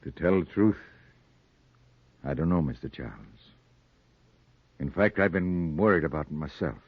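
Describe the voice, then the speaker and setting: Sinister cop, folksy talk, low pitch, 1940s radio noir, dull vintage sound. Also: male voice, moderate pace, slightly rough, no echo. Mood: musing, unhinged. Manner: folksy, menacing. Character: folksy male police sergeant, secretly a deranged strangler. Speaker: actor in radio drama.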